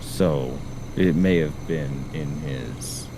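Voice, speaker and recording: A man's voice: extremely low pitch, drawn-out words. A man from the Boston area, chatting casually while gaming, filling his talk with "like" and explaining at length. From Boston area, USA. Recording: steady background noise.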